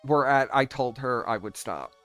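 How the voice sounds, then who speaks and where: A man hosting a casual, mocking chat, his voice a little hoarse and sore. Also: medium pitch, moderate pace, a bit bright. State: slightly hoarse, sore voice from laughing. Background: faint music.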